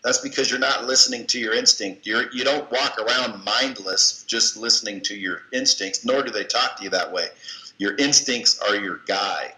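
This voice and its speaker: A man's voice: fairly low pitch, normal speed, bright, forceful tone. A man as an interview guest, giving blunt, coaching advice in a folksy way and cursing casually. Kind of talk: motivational advice in conversation. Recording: echoey room.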